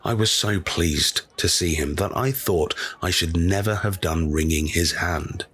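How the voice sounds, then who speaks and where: A man narrating a classic tale in a dramatic reading, measured, solemn and deliberate. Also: sits low, a bit bright, slightly rough.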